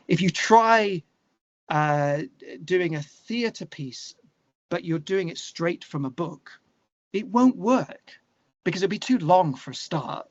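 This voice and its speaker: A man talking casually in an interview, opinionated and animated, thinking aloud and correcting himself as he goes. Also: on the high side, dry recording, lo-fi sound. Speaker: interview guest, musician and songwriter.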